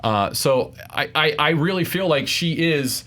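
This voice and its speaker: A man's voice: fairly high pitch, expressive, a little slow, pushed and bright. A man making a casual argument as a debate show contestant, explaining his reasoning somewhat hesitantly.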